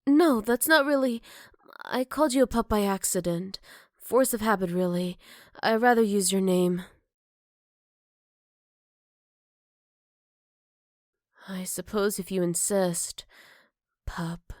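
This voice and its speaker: A woman playing a gentle, caring motherly werewolf in an ASMR roleplay, soft-spoken with hesitant pauses and tender teasing. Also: mid-range voice, dry recording, clean studio sound, natural voice. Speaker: voice actor. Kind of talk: ASMR roleplay addressed to the listener.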